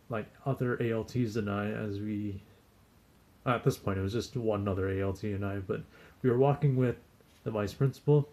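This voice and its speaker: Young man, 20s, low mellow voice, rambling casual vlog talk, chuckles often. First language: not Japanese; speaks Japanese as second language. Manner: rambling, frequent restarts and chuckles. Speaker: English teaching assistant in Japan.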